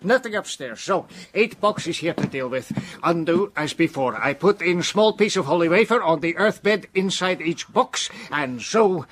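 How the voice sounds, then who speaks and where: A man's voice: fairly high pitch, lively intonation, moderate pace, hardly any pauses, background noise, lightly acted. An actor playing a solemn, fatherly professor who hunts vampires in a gothic audio drama, speaking in stilted phrases. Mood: urgent.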